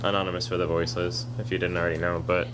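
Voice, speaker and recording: Monotone deep-voiced man. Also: very fast, noisy background. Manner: argumentative, talks at length. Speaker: podcast co-host, activist. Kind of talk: casual podcast chat. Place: kava bar.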